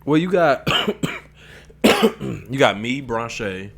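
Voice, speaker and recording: A man's voice: mid-range voice, expressive, extremely slow, vocal fry, fairly bright tone, husky. A man hosting a casual podcast interview, easygoing and full of "okay" and "um". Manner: easygoing, lots of "okay" and "um" backchannels. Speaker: podcast host.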